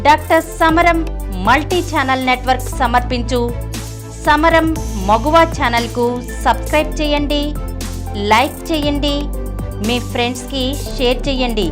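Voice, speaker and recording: A woman's voice: sits high, normal speed, long pauses. A woman reading a channel promotion voice-over in an upbeat tone, urging viewers to subscribe and share. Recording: voice-over with music behind.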